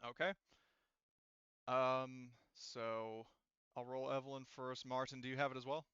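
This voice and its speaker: Bright, clear-voiced male game master. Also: mid-range voice, monotone, no room sound, lo-fi sound. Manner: halting, thinking aloud while checking rules. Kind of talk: running a tabletop roleplaying game, casual improvised explanation.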